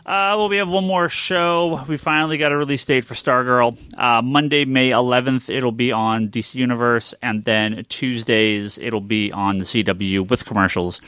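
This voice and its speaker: Male podcast host, mid-pitched and bright, excitable comic news chat with emphatic repeats, close dry sound. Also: moderate pace, few pauses, smooth, dry recording.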